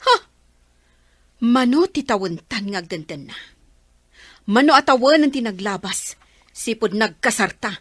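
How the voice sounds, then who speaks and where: A woman's voice: fairly high pitch, lively intonation, unhurried, long pauses, fairly bright tone, no room sound. A woman voicing a haughty, rich wife. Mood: furious. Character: proud wealthy wife, sharp-tongued and quarrelsome. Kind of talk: radio drama acting.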